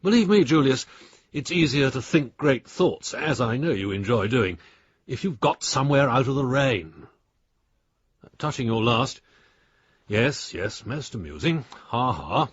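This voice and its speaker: An actor playing an exasperated older English adviser, husky, clipped and scornful, reading his letters aloud in a radio drama. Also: medium pitch, lively intonation, unhurried, no room sound. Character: blunt, exasperated old friend and professional adviser, male. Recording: dry, slightly dull studio sound.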